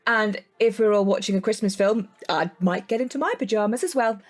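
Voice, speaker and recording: An actress playing an eager, friendly, informal neighbour in an audio drama. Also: female voice, mid-range voice, brisk, close to an everyday voice.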